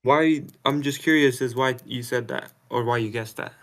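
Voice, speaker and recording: A man's voice: medium pitch, normal speed, a bit bright. A man on a voice call, defensive and irritated, swearing often. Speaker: caller. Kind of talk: heated argument on a voice call.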